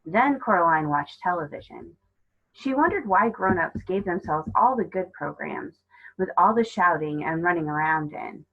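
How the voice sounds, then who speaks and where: A woman reading a children's novel aloud as scripted narration, in an expressive storytelling manner. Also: fairly low pitch, moderate pace, narrow, tinny audio. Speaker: storybook reader.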